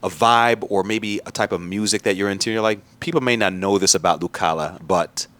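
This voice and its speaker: A man's voice: low voice, a little fast, fairly bright tone. A man hosting a casual radio interview, playful and jokey as he asks his questions.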